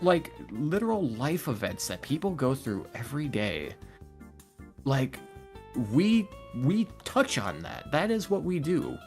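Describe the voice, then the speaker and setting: A man talking casually as a podcast guest, emphatic and rambling, with slangy phrasing and acted-out quotes. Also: medium pitch. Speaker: podcast guest, ASMR roleplay creator. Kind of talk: casual, opinionated interview talk.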